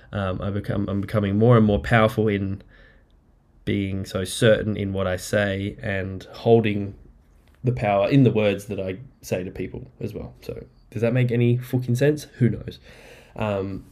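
A man hosts his podcast alone, talking loosely and cheerfully with the odd swear word. His fairly low voice sounds nasal and croaky from a bout of flu. He records on a laptop in an apartment. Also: darkish tone. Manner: rambling, upbeat, swears casually. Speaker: podcast host. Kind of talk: casual solo podcast catch-up. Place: apartment indoors. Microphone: laptop microphone.

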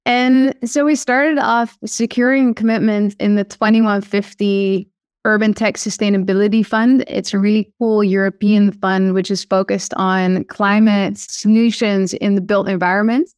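Woman, Dutch accent, founder guest; upbeat, step-by-step explainer, dry close-mic sound, mid-pitched voice. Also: steady tone, a little slow, a little mellow, smooth, no room sound. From Netherlands. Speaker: guest, startup CEO. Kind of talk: podcast interview.

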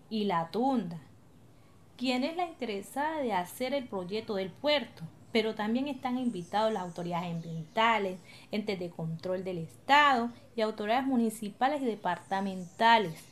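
Radio drama community leader, played by a woman: higher voice, calm, explanatory, reassuring. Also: natural voice.

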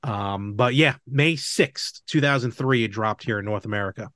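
A man hosting a casual chat podcast, talking in a halting, measured way with small asides and self-corrections. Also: fairly low pitch, a bit bright, no echo, clean studio sound. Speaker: podcast host. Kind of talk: casual chat about games and film.

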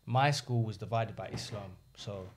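Young man, casual podcast guest, animated storyteller, acts out quoted voices. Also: fairly low pitch, moderate pace, background noise. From England.

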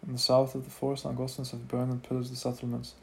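A man's voice: medium pitch, monotone, quick pace, vocal fry, darkish tone, close to an everyday voice. A man voicing a rakish, charismatic male rebel leader giving a declamatory rallying speech. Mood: rousing.

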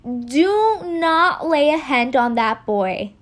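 A woman voicing a solemn, commanding angel who calls down from the heavens in firm, measured declarations. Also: sits high, lively intonation, voice pushed up.

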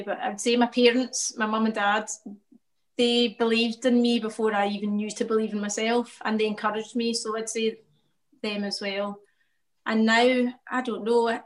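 A woman's voice: fairly high pitch, a bit bright. A woman painter talking reflectively about her work in a casual interview, often laughing.

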